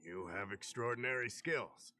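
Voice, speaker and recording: A man playing a courteous, knowledgeable fantasy official in a video game, explaining things in a measured way. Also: medium pitch, pushed and bright, a little hiss or hum. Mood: patient, explanatory.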